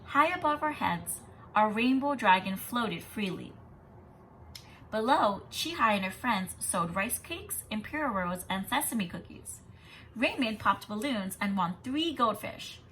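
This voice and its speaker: A woman teaching young children, reading a picture book aloud expressively and explaining as she goes in an animated way. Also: mid-range voice, measured pace, a little hiss or hum, low-quality recording. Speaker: kindergarten teacher.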